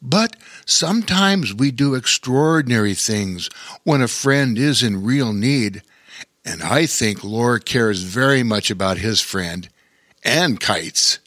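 A man voicing a huge, kindly male bear in a storybook, speaking calmly and gently. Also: mid-range voice, slow, no room sound, studio quality. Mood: gentle. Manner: calm, warm-hearted.

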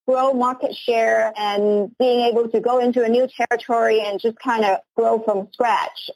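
A woman's voice: on the high side, vocal fry, no echo, rough recording. A woman in her forties from Hong Kong, a company CEO answering interview questions earnestly, often repeating her first words. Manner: earnest, eager, repeats words when starting sentences.

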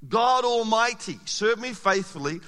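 High, bright-voiced preacher in a reverberant hall. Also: male voice, measured pace. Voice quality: slightly raspy. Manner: animated, emphatic preaching with rhetorical questions. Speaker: pastor. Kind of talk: sermon. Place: church hall.

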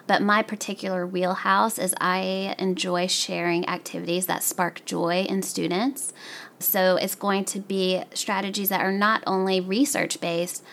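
A woman's voice: fairly low pitch, gravelly, fairly bright tone. A woman from the American South giving earnest, enthusiastic, conversational interview answers as an education specialist. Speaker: professional learning specialist, podcast guest.

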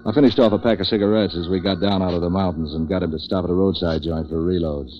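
Low-voiced hard-boiled actor as street-wise noir man. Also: fast, natural voice. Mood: dry, matter-of-fact. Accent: American. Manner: hard-boiled, deadpan wisecracking. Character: cynical, street-wise waterfront boat renter for hire. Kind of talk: 1940s radio noir acting, first-person narration. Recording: dull, lo-fi 1940s radio recording.

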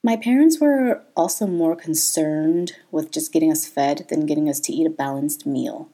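A woman in her thirties hosts a podcast, sharing her own life candidly and laughing often. Her voice is low and mellow, with a husky edge. Faint music plays underneath. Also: moderate pace. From Thailand. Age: 30s. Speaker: podcast host. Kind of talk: personal podcast monologue.

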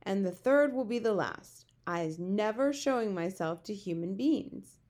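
Gentle male giant for a children's storybook, performed by a woman: resigned, muddled grammar, invented words. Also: medium pitch, animated, measured pace, lightly acted. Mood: stubborn. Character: big friendly giant, male, gentle, gloomy, word-mangling. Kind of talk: children's storybook character reading.

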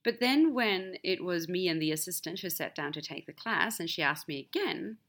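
A woman's voice: lowish voice, lively intonation, moderate pace, a bit bright. A woman whose first language is Swedish hosts a podcast, telling a personal story in a candid, reflective solo monologue. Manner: candid, storytelling, wide swings in emphasis. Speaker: podcast host.

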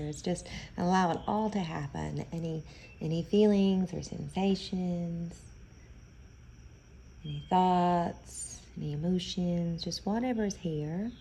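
A woman guides a meditation at a very slow, gentle pace, leaving pauses between phrases. Her voice is soft-edged and mellow, with a noticeable creak. The style is soothing instruction, unhurried throughout. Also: lowish voice, slight background noise.

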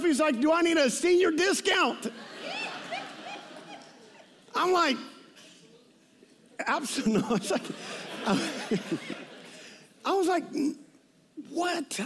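Man, fifties, high voice, preacher, conversational sermon, informal, repetitive emphasis. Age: 50s. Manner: informal, repeats phrases for emphasis. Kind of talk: conversational sermon with personal anecdotes.

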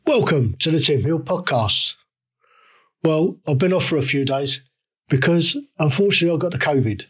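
A man hosting a solo podcast, ranting with indignant rhetorical questions and halting restarts, his voice a little croaky from a cold. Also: on the high side. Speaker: podcast host. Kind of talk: solo opinion rant.

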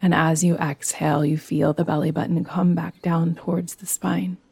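A woman guiding a yoga nidra meditation in a soothing, gentle, unhurried manner. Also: lowish voice, little pitch movement, gravelly, husky, no room sound. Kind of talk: guided meditation.

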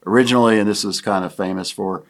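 Low-voiced older man, halting and reflective. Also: steady tone, vocal fry. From Pennsylvania. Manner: halting, reflective, many fillers. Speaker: guest. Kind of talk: casual conversation.

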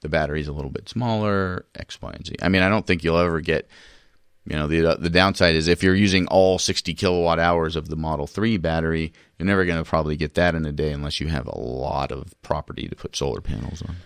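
A man co-hosting a podcast in casual chat, jokey with quick quips. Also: a bass voice, steady tone, gravelly, smooth, no echo, studio quality. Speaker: podcast co-host.

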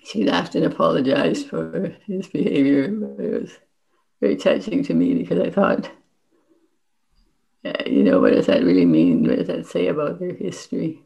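A woman's voice: on the low side, very animated, moderate pace, creaky, dark tone. An older woman speaking reflectively as a guest in an interview. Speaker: interview guest, memoir author and former NGO worker. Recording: dull, lo-fi recording.

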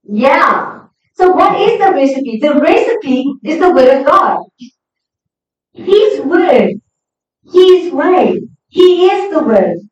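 Woman preacher, high voice, unhurried and emphatic sermon delivery, heard at a distance in a very echoey space. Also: sing-song, a little mellow, a little hiss or hum. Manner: emphatic, exhorting. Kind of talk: sermon to a congregation. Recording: echoey hall, distant, slightly dull sound.

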